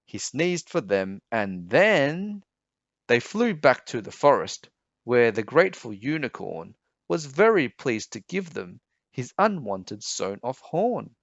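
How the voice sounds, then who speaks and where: A man reading a children's story aloud in an expressive, emphatic, measured way. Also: medium pitch, dry recording. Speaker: storybook reader. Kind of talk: children's read-aloud narration. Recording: dull, slightly muffled sound.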